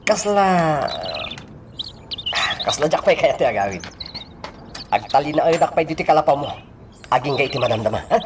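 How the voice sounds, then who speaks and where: A man's voice: on the high side, animated, normal speed, long pauses, lightly acted. A man with an Ilocano accent playing a brash, scheming, lecherous village thief in a radio drama. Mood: sly. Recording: faint noise with birdsong.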